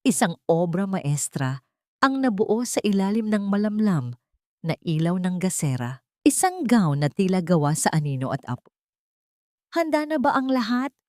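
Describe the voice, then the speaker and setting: A woman's voice: fairly low pitch, sing-song, normal speed, dark tone, no echo, studio quality. A woman with a Filipino accent reading a dramatic scripted story as an audiobook narrator.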